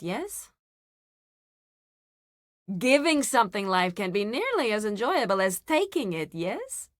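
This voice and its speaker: A woman playing a solemn, zealous nature priestess in a fantasy video game, speaking in a formal, deliberate, theatrical way. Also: fairly high pitch, fairly bright tone, dry recording, clean studio sound.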